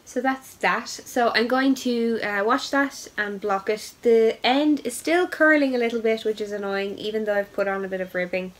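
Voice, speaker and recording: A woman's voice: on the high side, moderate pace, few pauses. Chatty woman with a head cold. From Ireland. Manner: chatty, many ums, upbeat asides. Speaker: knitting podcast host. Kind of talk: casual show-and-tell chat.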